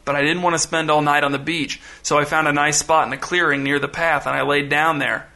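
A man's voice: on the high side, little pitch movement, a little fast, creaky, bright, forceful tone. A man voicing a scheming, hedging would-be king in a story, speaking conspiratorially. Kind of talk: storybook character voice.

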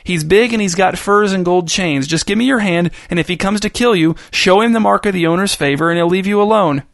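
A man's voice: high-pitched, a little fast, vocal fry, no room sound, clean studio sound, voice pushed up. A man voicing a frightened but determined young girl pleading with her father.

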